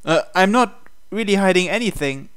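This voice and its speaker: A man reading visual novel dialogue aloud in character, with a hesitant, halting delivery. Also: high-pitched, expressive, a bit bright, clear, resonant tone. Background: game music.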